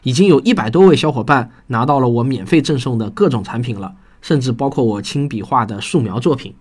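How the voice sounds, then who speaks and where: A man's voice: medium pitch, expressive, fairly quick, a little mellow, husky. A man hosting a podcast and talking to listeners about his own writing in an earnest, explanatory way. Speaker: podcast host and science fiction writer. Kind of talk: scripted podcast talk to listeners.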